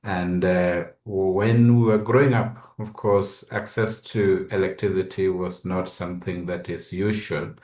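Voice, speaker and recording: A man's voice: low-pitched, fairly even intonation, measured pace, few pauses, a little mellow. A man with a Kenyan accent giving personal, explanatory answers as an interview guest. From western Kenya. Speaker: guest, physicist and university lecturer.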